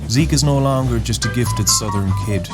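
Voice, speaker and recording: A man narrating a scripted dramatic story in a solemn, poetic, deliberate way. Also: medium pitch. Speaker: narrator, host of a story series. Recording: music bed under the voice. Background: music.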